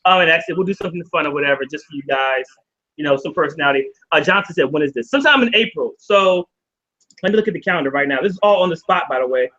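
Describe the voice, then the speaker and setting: A man hosting a casual live video chat, rambling, laughing often and getting excited. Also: fairly high pitch, very fast. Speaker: show host. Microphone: video call. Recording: dull lo-fi video call audio.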